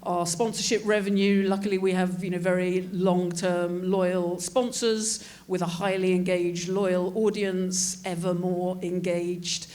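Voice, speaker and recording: A woman executive speaking on a conference panel in a measured, businesslike way. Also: on the low side, flat delivery, a little mellow. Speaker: esports team executive. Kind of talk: panel discussion.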